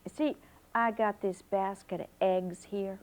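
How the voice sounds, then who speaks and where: A woman voicing a firm old grandmother who gives orders in an unhurried drawl. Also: medium pitch, steady tone, lightly acted. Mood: caring.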